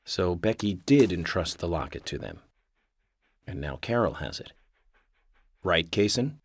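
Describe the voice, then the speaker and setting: An actor playing a calm, eccentric federal agent in a video game, polite, measured and probing. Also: male voice, deep voice, gravelly, breathy edge, exaggerated voice. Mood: coolly pointed. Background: loud game music.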